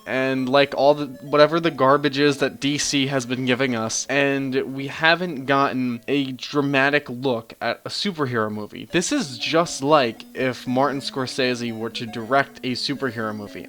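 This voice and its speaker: A man's voice: medium pitch, fairly bright tone. A young man reviewing a film in casual talk. He is a little sick, and he speaks with blunt, enthusiastic energy and swears casually. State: sick, voice a bit off. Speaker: movie reviewer.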